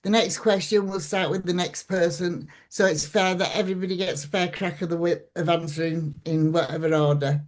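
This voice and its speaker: A woman's voice: on the low side, fairly even intonation, fairly quick, hardly any pauses. A woman chairing a local election meeting, informal and hesitant with fillers. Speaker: hustings chair.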